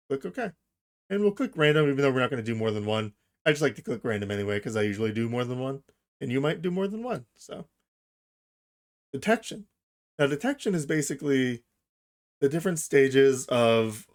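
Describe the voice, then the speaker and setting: A man narrating a casual software tutorial, explaining things informally, step by step, as its host. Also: medium pitch.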